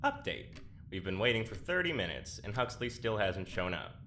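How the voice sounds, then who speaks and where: An anxious male test subject in an audio drama. His low voice is bright and expressive, yet the delivery stays deadpan. A faint noise sits behind it. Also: moderate pace.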